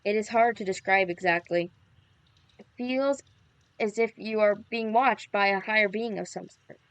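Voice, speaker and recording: A woman's voice: medium pitch, moderate pace, clear, resonant tone, no echo. A woman livestream host reading a visual novel aloud, with playful casual reactions. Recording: background music under the voice. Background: music.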